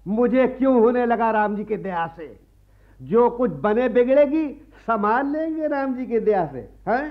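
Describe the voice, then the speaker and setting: An actor playing a greedy, wily priest and moneylender in a radio play, sanctimonious and wheedling. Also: male voice, very high-pitched, animated, a little slow. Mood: scornful, pressing. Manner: sanctimonious, wheedling, repeats a pious catchphrase. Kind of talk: Hindi radio play acting. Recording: muffled archival radio play recording.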